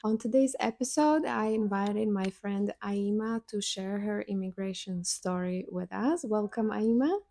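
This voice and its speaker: A woman's voice: medium pitch, unhurried, creaky, hardly any pauses, mellow, no room sound, clean studio sound. A woman hosting a podcast, asking short, friendly questions. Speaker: podcast host. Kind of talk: interview questions.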